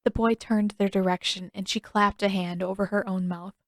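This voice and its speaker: A woman's voice: mid-range voice, steady tone, moderate pace, no room sound, studio quality. A woman reading scripted fiction aloud as an audiobook narrator.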